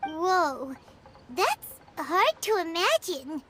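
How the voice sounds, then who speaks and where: A woman voicing a tiny, excitable, naive female companion creature in an anime game, with a squeaky childlike voice and wide-eyed manner. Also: drawn-out words, over music, exaggerated voice. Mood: curious, amazed. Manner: talks of herself in third person, wide-eyed.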